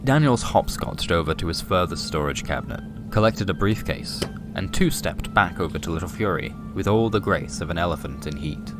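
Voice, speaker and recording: A man with a low voice reads darkly comic pulp fiction as narrator. His delivery is deadpan and wry, set over a clearly audible music bed. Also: normal speed, few pauses. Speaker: narrator and presenter. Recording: music behind the voice.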